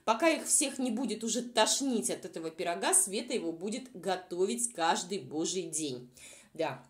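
Female vlog commentator, mid-pitched but very animated, under the weather, mocking and exasperated, informal. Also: moderate pace. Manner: sarcastic, exasperated. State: unwell, says voice is nearly gone.

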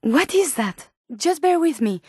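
Game role: earnest female robot scientist, French accent, high register, precise formal diction, very dry sound. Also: animated, a little slow, dark tone, dry recording. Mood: reflective. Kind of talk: video game companion dialogue.

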